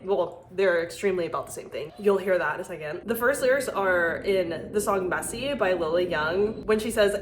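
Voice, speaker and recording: A woman's voice: fairly low pitch, a little hiss or hum. Young American woman, roomy echoing sound. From United States. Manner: informal, peppered with "like". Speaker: video host reading listener messages. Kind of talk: casual chat to camera.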